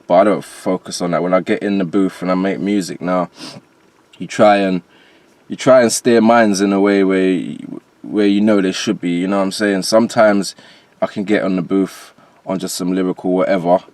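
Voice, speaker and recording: Deep-voiced man with transatlantic accent. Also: fairly even intonation, moderate pace, darkish tone, no echo. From Jamaica, later moved to England. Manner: conversational, slangy. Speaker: guest, rapper and producer. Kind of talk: casual interview talk.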